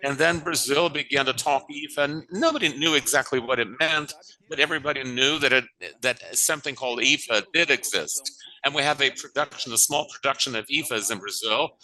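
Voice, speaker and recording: Bright, halting male conference interpreter. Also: mid-range voice, rough recording. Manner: halting, restarts and rephrases phrases.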